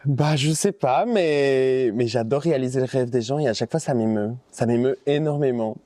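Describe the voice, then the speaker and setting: A man's voice: mid-range voice, normal speed, dark tone, no echo. A man from the Liège area of Belgium, a guest on a podcast, talking with enthusiasm and often restarting with "euh". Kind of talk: candid interview conversation.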